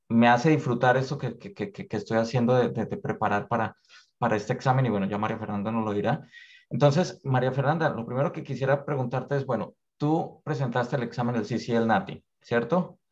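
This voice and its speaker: A man with a Colombian accent hosting a casual interview, often repeating words as he goes. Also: medium pitch. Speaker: interviewer, exam preparation tutor. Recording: dull, lo-fi audio.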